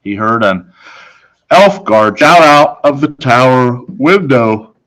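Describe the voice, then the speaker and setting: A man reading a children's novel aloud expressively, with dramatic, deliberate phrasing. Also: mid-range voice, animated, a bit bright, poor audio. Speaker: story reader, teacher.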